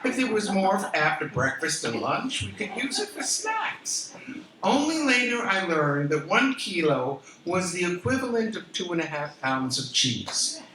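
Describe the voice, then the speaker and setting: An older American woman reading her memoir aloud as a theatrical, expressive storyteller, with emphatic pauses. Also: on the low side. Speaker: author reading from own memoir. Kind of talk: dramatic live storytelling reading. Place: room in a historic house, before listeners. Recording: roomy, distant mic with background noise.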